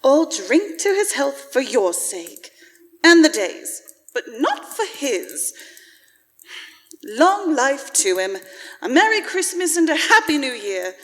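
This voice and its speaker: A feisty Victorian wife and mother played by a woman in a radio drama. Her high voice swings widely, tart and emphatic with ready indignation. The hall around her leaves a long reverb. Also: big pitch swings, slow pace, fairly bright tone, exaggerated voice. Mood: grudging, sarcastic. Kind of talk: live radio-play acting of a Victorian story. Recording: live in a reverberant church hall.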